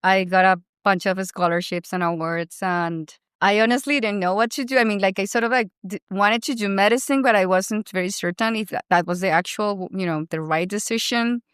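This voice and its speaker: A woman's voice: medium pitch, brisk, hardly any pauses, a bit bright, clean tone, no echo, clean studio sound. A woman in her thirties with a Colombian accent, a doctor and founder chatting as a podcast guest, filling her talk with "you know" and "like". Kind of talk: casual interview conversation.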